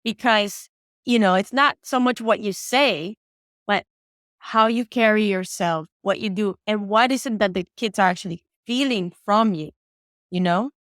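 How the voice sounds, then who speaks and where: A woman's voice: medium pitch, unhurried, fairly bright tone, dry recording, clean studio sound. A woman interviewing a guest, reflecting her guest's words back to her in an affirming, thoughtful way. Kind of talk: conversational interview.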